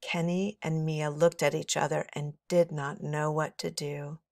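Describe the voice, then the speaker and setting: A middle-aged woman reads a children's story aloud with expression. Her voice sits a little low, and the sound is fairly dry and close. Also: little pitch movement, a little slow, creaky, a little mellow, no echo. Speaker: read-aloud teacher.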